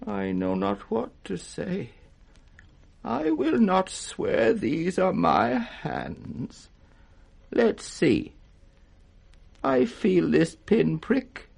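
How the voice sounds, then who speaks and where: A grieving, humbled old British king in a radio Shakespeare. His voice is raspy and gravelly and sits fairly high for a man. He speaks very slowly and haltingly, wavering with confusion. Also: huge pitch swings, a little mellow, full character voice. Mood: bewildered, humbled. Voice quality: raspy, cracked.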